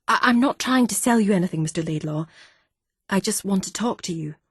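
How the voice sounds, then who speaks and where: A woman's voice: medium pitch, animated, fairly quick, breathy edge, dry recording, clean studio sound, pitched above the performer's own voice. A woman voicing a determined young woman searching for her father, with an earnest, pleading manner.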